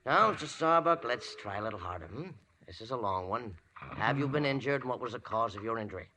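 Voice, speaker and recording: An officious county sheriff in a vintage radio drama. He talks fast in a forceful, businesslike, drily insistent voice, with faint noise on the old recording. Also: mid-range voice, lively intonation. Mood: officious, impatient. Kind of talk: 1940s radio drama acting.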